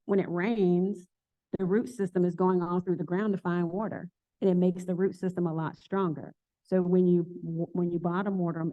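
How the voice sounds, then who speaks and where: A woman's voice: lowish voice, dark tone, no echo. Plant shop owner, woman, tinny sound. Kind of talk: casual talk with audience questions.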